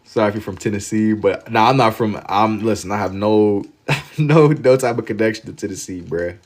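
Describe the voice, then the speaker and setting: A man's voice: on the low side, normal speed, darkish tone. A man with an American accent hosting a livestream, chatting casually and slangily with viewers, amused and often repeating phrases for emphasis. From Louisville, Kentucky, United States. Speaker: livestream host. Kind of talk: casual chat with live viewers while drawing.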